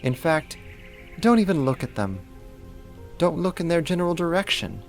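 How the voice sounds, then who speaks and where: A man's voice: fairly high pitch, sing-song, moderate pace, gravelly, faint music behind. A man playing a slight, possessive, vain vampire in a roleplay audio drama, sarcastic, haughty and fussy. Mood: jealous, threatening. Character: puny snarky jealous male vampire, possessive and vain.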